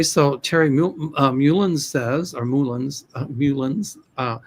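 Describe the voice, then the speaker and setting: An older American man hosting a livestream as a psychic, reading viewer questions in a hesitant, rambling way with many restarts. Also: mid-range voice. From United States. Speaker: psychic host of a livestream show.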